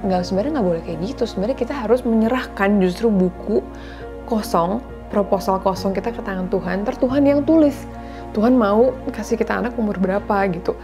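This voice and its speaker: A woman's voice: mid-range voice, brisk, music in the background. A woman in her thirties talks earnestly and confidingly to her viewers about faith and marriage, in a casual style that mixes in English words. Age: 30s. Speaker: vlogger sharing faith and marriage advice. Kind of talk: casual heartfelt talk to viewers.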